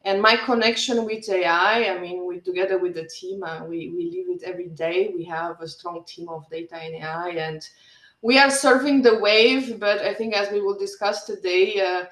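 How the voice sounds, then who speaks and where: A woman introducing herself as a panelist in a business panel discussion, lively and laughing between phrases. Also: fairly low pitch, normal speed, reverberant, poor audio. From Germany.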